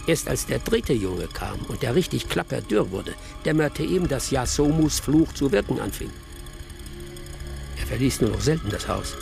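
An actor playing a village hunter who tells a tale in a reflective, deliberate way, in a radio drama. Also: male voice, mid-range voice, very animated, vocal fry, a little mellow, faint music behind. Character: village hunter telling a tale, measured and sly. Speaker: village storyteller. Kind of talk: audio drama storytelling.

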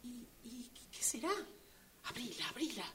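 A woman playing an eager, greedy, pious woman in a radio play, theatrical, stammering with excitement. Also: fairly high pitch, measured pace, a little hiss or hum. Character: eager, greedy, pious woman exulting over found money.

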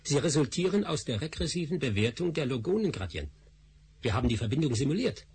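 An actor playing a measured, technical male scientist who turns gentle and tender with his family in a radio play. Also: mid-range voice, sing-song, slight background noise. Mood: determined. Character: male scientist Doktor Spassky, calm and determined.

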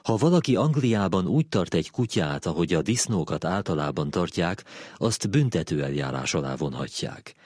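A man with a low voice narrates a written essay. It is a scripted read, recorded close and dry with very little room sound. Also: animated, a little mellow, husky, no echo. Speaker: narrator reading a philosophy essay.

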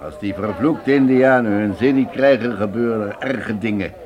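A man's voice: fairly low pitch, moderate pace, lo-fi sound, lightly acted. World-weary fort keeper amid crowd noise male actor. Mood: scornful. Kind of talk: radio drama acting.

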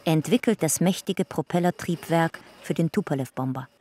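A woman's voice: low voice, brisk, warm tone, slight background noise, natural voice. A woman narrating a radio drama in documentary style, sober, clipped and matter-of-fact. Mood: sober, factual.

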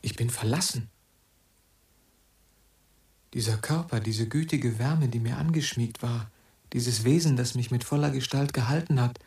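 A man's voice: mid-range voice, unhurried, gravelly, pauses often, a little mellow, roomy sound, faint music behind. An actor playing a forsaken, restless young man in a radio drama, brooding aloud in an intense, declamatory monologue. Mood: desolate.

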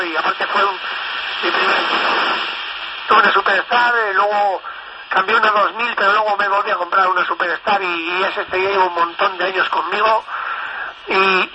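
A man chatting casually over CB radio, enthusiastic and rambling, often repeating himself. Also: sits high. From northern Spain. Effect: FM CB radio transmission. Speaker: amateur CB radio operator. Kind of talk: casual radio contact chat. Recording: noisy, dull CB radio transmission. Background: loud radio static and noise.

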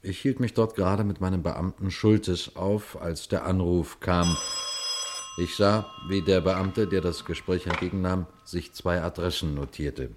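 An actor playing a police detective who tells his case to listeners in a deliberate 1950s radio crime play style. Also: sits low, fairly even intonation, noisy background. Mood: grave storytelling. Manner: deliberate, rhetorical questions to the listener.

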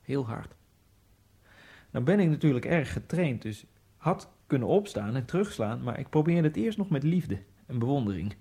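A man narrating a radio drama in the first person, in a halting, fragmented inner monologue with a gravelly voice. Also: mid-range voice, sing-song, warm tone. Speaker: narrator and main character. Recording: radio drama with faint music.

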